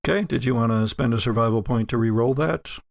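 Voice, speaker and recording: A man narrating a tabletop horror game as game master, with halting, deliberate scene-setting. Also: medium pitch, gravelly, no room sound.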